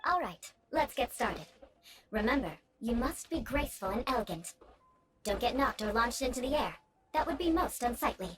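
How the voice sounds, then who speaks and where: A woman acts out a young noblewoman knight for a video game, high-voiced and very animated in her intonation. She is formal, composed and haughty, instructing with a teasing, vengeful streak, over game music. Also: normal speed, lightly acted. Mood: instructive, haughty.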